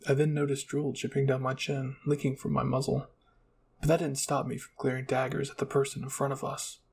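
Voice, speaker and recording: A man's voice: mid-range voice, monotone, brisk, gravelly, darkish tone, a little hiss or hum. A man narrating a scripted first-person roleplay story.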